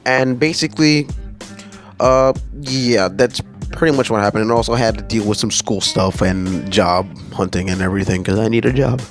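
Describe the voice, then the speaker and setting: A man's voice: on the low side, normal speed, faint music behind. A man hosting a gaming channel, casually reading through patch notes and rambling with many fillers and restarts.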